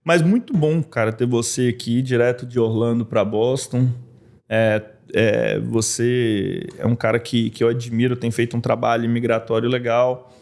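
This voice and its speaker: A man's voice: medium pitch, steady tone, moderate pace, gravelly, a little mellow. A man hosting a podcast, chatting casually and in a friendly way with his guest. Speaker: podcast host. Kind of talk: casual interview chat.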